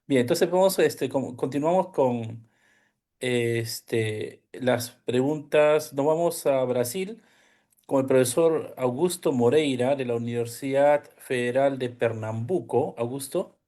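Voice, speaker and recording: A man moderating an online academic seminar in Spanish, politely and haltingly introducing the next participants. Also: mid-range voice, warm tone.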